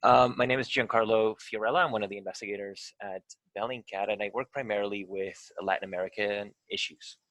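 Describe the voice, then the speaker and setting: A man's voice: fairly low pitch, fairly even intonation, fast talker, fairly bright tone, no room sound, poor audio. A man from Venezuela, an investigator, leading a live online tutorial over a video call, talking with many ums and uhs.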